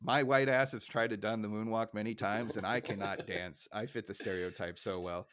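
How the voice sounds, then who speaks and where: A man's voice: fairly low pitch, fairly even intonation, moderate pace, fairly bright tone. Chatty man co-hosting a podcast. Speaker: podcast co-host. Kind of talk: casual podcast chat, recapping a cartoon episode.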